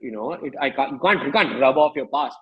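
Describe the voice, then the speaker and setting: A man in his thirties with an Indian accent, speaking candidly and reflectively as an interview guest, often halting and rephrasing his thoughts. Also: mid-range voice, lively intonation, slightly rough, lo-fi sound. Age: 30s.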